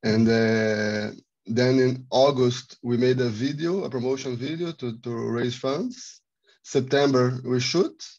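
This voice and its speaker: Gravelly-voiced Brazilian-born man, halting. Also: medium pitch, a little mellow, highs cut off. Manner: halting, many restarts, non-native phrasing. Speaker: filmmaker, cameraman. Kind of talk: panel Q&A answer. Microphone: video call.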